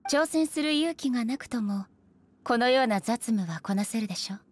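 An actress playing a stern, dignified female ruler in an anime game, speaking formally and with measured authority. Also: on the high side, expressive, slight background noise, natural voice. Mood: stern, commanding. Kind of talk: Japanese anime game voice acting.

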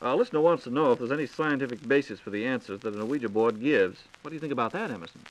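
Brisk American man, 1940s radio announcer. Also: medium pitch, low-quality recording. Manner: polished announcer delivery, courteous. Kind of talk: 1940s radio hosting, scripted. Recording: 1940s radio transcription disc.

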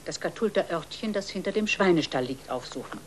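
A woman's voice: on the low side, expressive, fairly quick, noisy background. A woman giving scripted narration as the storyteller of a children's audio play.

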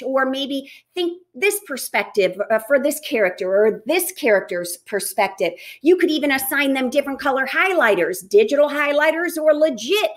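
Middle-aged woman, high voice, energetic teacher-trainer delivery, small office on webcam. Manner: energetic, talks with her hands. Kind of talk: educational advice video. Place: office with wooden cabinets, indoors.

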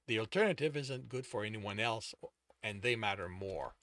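A stoic young man in a fantasy audiobook, read by a man. He is stubbornly calm and politely restrained, with fry in the voice. The sound is close and dry. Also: on the low side, moderate pace, no echo. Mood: numb, stubborn.

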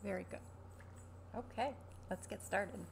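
A woman in her thirties speaking softly, quietly and soothingly in a creaky voice, as a barber in an ASMR personal-attention roleplay. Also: medium pitch, measured pace. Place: small furnished room at home, indoors. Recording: noisy webcam-style home recording.

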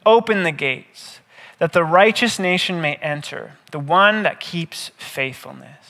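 A man in his thirties, a pastor giving a measured, scripted sermon with deliberate phrasing. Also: fairly high pitch, animated, bright, forceful tone. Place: indoor stage, seated at a table. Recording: reverberant hall.

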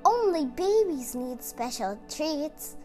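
Casting: excitable, bragging picture-book boy, acted by a woman, high and very animated. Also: slow, soft background music. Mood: defiant. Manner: exclaiming, dramatic.

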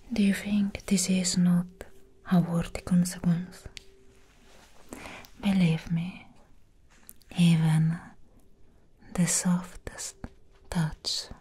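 A young woman playing a calm, commanding female assassin in a whispered ASMR roleplay, slowly and softly instructing the listener up close. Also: fairly low pitch, a little hiss or hum. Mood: stern, teasing. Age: young adult. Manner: slow, hushed, instructing the listener. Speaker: ASMR performer. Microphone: very close ASMR microphone.

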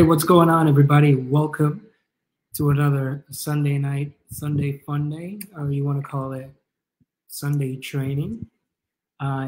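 A man's voice: on the high side, darkish tone, slight background noise, poor audio. A man in his thirties with a Jamaican accent, giving a casual live tutorial in an informal, encouraging, rambling way. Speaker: online business coach and livestream host. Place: kitchen or living room at home, indoors.

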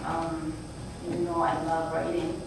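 A woman reads her own short piece aloud at a podium, halting and doubling back over her words. She speaks slowly in a mid-range voice. The recording is distant and roomy, with steady hiss underneath. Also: low-pitched. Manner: halting, repeats phrases, restarts. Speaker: adult learner reading her own piece. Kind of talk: reading a short heartfelt text aloud. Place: brick-walled hall with a seated audience. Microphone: podium mic from a far camera.

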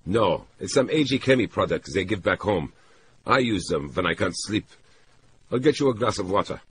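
A man's voice: sits low, lively intonation, moderate pace, background noise, voice pushed up. A man voicing an agitated, exasperated man with urgent, clipped delivery, in a dramatic audiobook reading. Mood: flustered. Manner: urgent, insistent, short clipped sentences. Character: agitated, exasperated man tending a disturbed woman.

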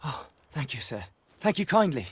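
Casting: humble game villager, servile, apologetic, slightly high voice. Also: male voice, sing-song, normal speed, a little hiss or hum. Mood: anxious. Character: meek, deferential peasant village elder. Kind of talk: video game dialogue acting.

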